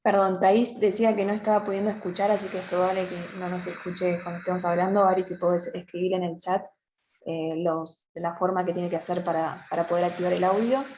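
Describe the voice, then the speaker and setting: A woman's voice: fairly low pitch, flat delivery, brisk, darkish tone. A woman giving practical instructions in an online meeting, on echoey, dull, slightly noisy audio.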